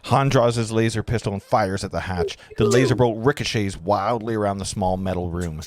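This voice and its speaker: A man's voice: fairly low pitch, a little fast, heavy background noise. A man reading stage directions as narrator at a script table read, stumbling over words now and then. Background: clear guitar music.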